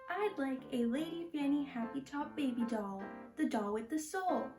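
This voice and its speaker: A woman playing a chirpy, gushing little girl pitching toys in an old-time radio advertisement. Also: expressive, normal speed. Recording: echoey stage, music behind.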